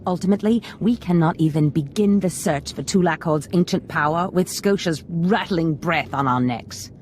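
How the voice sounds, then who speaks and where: A woman's voice: fairly low pitch, normal speed, a little mellow, a little hiss or hum, lightly acted. A woman playing a haughty, scheming Sith master in a video game, theatrical and calculating. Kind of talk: video game dramatic acting.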